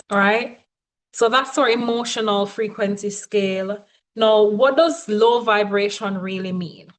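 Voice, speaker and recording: A woman coach giving a motivational teaching talk, speaking emphatically and often checking in with her listeners. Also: mid-range voice.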